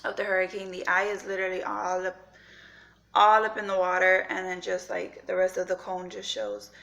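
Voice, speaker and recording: A young woman with a raspy just-woke-up voice, chatting casually to her vlog camera at home, rambling with lots of fillers. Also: lowish voice, moderate pace, a bit bright. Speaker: vlogger. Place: at home, indoors.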